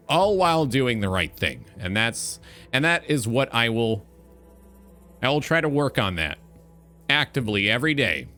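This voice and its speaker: A man's voice: medium pitch, bright, forceful tone. A man hosting a casual livestream talk show, speaking in an earnest, reflective monologue with music playing behind him.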